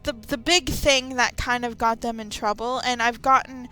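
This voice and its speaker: A woman's voice: fairly high pitch, steady tone, normal speed, sharp tone, rough recording. A woman giving casual, rambling opinion commentary as a YouTuber, with sarcastic asides. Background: light background music.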